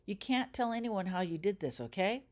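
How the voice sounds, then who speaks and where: A practical young witch at school, voiced by a woman reading a storybook. She speaks briskly and gives instructions like someone quietly in charge and guarding a secret. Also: medium pitch, expressive, pitched above the performer's own voice, lightly acted. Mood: cautious, instructing.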